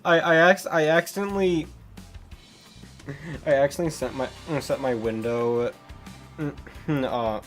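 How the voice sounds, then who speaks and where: A man's voice: medium pitch, moderate pace, long pauses, soft background music. A young man live-streaming a game, chatting casually with lots of restarts and laughter while translating the lines.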